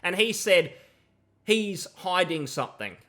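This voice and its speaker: A man in his thirties rants about a game with sarcasm and emphasis. His voice is bright and a little high. He talks into a headset from a room at home. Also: animated, measured pace. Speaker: gaming commentator. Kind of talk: reaction commentary.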